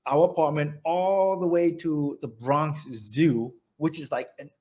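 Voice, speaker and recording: A man's voice: a little high, steady tone, unhurried, mellow. A middle-aged man from Vietnam with a Vietnamese accent, telling his life story in an oral history interview, rambling, with many restarts and fillers.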